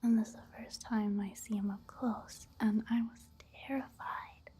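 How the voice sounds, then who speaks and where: A woman doing soft-spoken ASMR game commentary in a hushed, breathy whisper, gentle and unhurried. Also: a little high, fairly even intonation.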